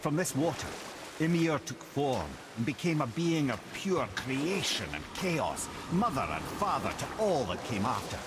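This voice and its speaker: Swooping old storyteller, water noise. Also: male voice, mid-range voice, big pitch swings, a little slow. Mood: grand, narrating. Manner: theatrical, storytelling cadence. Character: wry old male storyteller, learned and bitter. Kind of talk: mythic storytelling in game dialogue. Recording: clear boat and water ambience noise.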